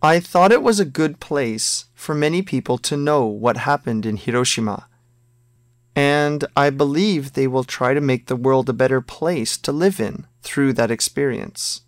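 A man reads a language listening test, voicing one side of short scripted dialogues and then the numbered questions. His delivery is slow, careful and textbook-clear, at an ordinary mid pitch. The recording is dry and close, with no room sound. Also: no echo.

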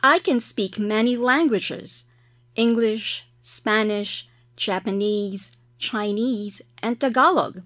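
Female dialogue reader for a language-exam recording, measured and careful, mid pitch, dry close sound. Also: a bit bright, no room sound. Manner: slow, careful textbook reading. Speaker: listening test reader. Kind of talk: scripted listening exam dialogue.

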